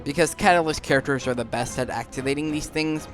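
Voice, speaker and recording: A man giving casual commentary on a game playthrough, halting now and then as he thinks aloud. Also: a little high. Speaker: gaming video host. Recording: game music behind the voice.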